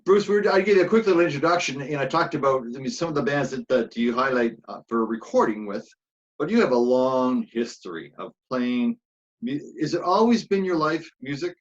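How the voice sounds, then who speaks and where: A man's voice: medium pitch, animated, fairly quick, highs cut off. A man co-hosting a music talk show, chatting casually as he introduces and questions a guest.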